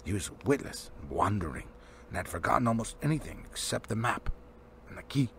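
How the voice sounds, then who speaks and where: A man's voice: on the low side, sing-song, fairly quick. A man voicing a stern, testy old male wizard from a storybook, speaking with grim emphasis and authority. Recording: faint background noise.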